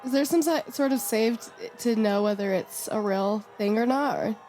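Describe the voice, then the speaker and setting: A woman's voice: fairly high pitch, moderate pace, dark tone, faint music behind. A woman chatting casually as a guest player in a tabletop game.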